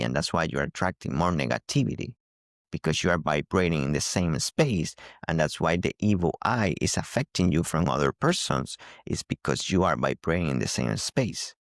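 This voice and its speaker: Very deep-voiced man giving spiritual teaching. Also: gravelly, no room sound, clean studio sound. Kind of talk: spiritual teaching talk to camera.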